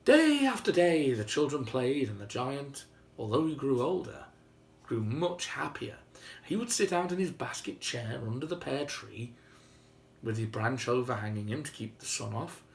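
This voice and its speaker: A man's voice: mid-range voice, very animated, moderate pace, vocal fry, slight background noise. A man retelling a fairy tale aloud in a theatrical, droll, conversational storytelling style. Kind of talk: animated oral retelling of a fairy tale with playful asides.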